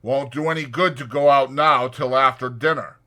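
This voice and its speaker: A man's voice: medium pitch, fairly even intonation, normal speed, bright, forceful tone, voice pushed up, lightly acted. A man voicing a stern, stocky ranch boss with a brusque, businesslike manner for a dramatized audiobook. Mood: annoyed, brusque.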